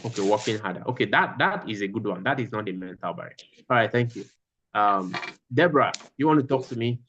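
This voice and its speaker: A man's voice: fairly low pitch, expressive, quick pace, fairly bright tone, a little hiss or hum. Man, online teacher, repeats himself for emphasis, slightly muffled call audio. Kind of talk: online class lecture.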